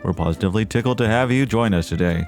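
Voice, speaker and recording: Male actor as small-town host, lowish voice, folksy, genteel, wordy, chipper, audio drama narration, faint music. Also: fast, a little mellow, lightly acted. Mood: cheerful, welcoming. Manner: folksy, genteel, old-fashioned flowery phrasing. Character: chipper, verbose small-town podcast host and dutiful son. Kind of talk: audio drama, mock radio-show narration.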